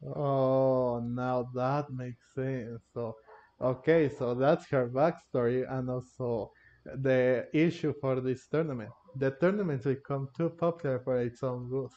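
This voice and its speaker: Male gamer commentator: casual, very mellow mid-pitched voice, halting, repetitive phrasing, lo-fi microphone. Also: fairly even intonation. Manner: halting, repeats and rephrases himself. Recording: dull, lo-fi microphone.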